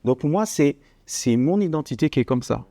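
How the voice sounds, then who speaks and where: A man's voice: a little high, lively intonation, normal speed, warm tone, dry recording. A man talking as a guest, explaining his work conversationally and repeating phrases for emphasis. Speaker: podcast guest, coach.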